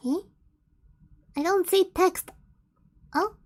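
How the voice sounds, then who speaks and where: A woman's voice: extremely high pitch, takes it slowly, no room sound, studio quality. A woman streamer reading fanfiction aloud, giggling and stumbling over the words as she goes.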